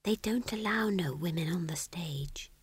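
A woman's voice: mid-range voice, sing-song, moderate pace, warm tone, slightly rough, dry recording, studio quality, pitched above the performer's own voice. A woman playing a timid, anxious young witch in an audiobook, explaining in a weak, hesitant, pleading way. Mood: wretched explaining.

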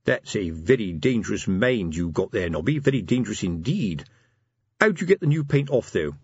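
A man's voice: on the high side, huge pitch swings, fast talker, husky, no echo, highs cut off, voice pushed up, full character voice. A man voicing a gloomy veteran police sergeant in an audiobook story, grumbling ponderously. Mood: doubtful.

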